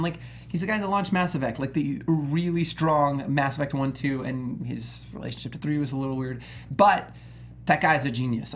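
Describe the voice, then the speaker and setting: A man giving emphatic, opinionated commentary in casual, slangy language as a host in a panel discussion about gaming. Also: a little high. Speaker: gaming talk show host.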